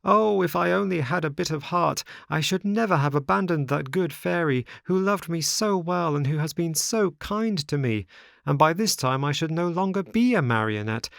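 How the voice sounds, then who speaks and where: A high-pitched wooden puppet boy from a storybook, acted out by a male narrator. He is impulsive and sly, speaking in swooping, exclamatory phrases with wheedling politeness. The sound is close and dry. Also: lively intonation, normal speed, creaky, fairly bright tone, no room sound. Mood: remorseful, indignant. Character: boy marionette, impulsive, remorseful, sly and eager.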